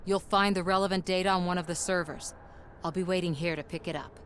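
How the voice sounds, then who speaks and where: A determined woman activist from a video game, voiced by an actress, speaking earnestly and persuasively. Her voice sits a bit low. Faint ambience lies behind her. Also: steady tone, fast, a little hiss or hum, lightly acted.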